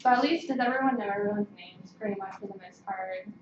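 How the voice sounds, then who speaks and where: A woman's voice: medium pitch, fast. Young American woman, distant and echoey. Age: young adult. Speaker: student government committee chair. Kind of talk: informal meeting talk. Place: meeting room. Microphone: distant room microphone. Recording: distant muffled mic, echoey room, noisy.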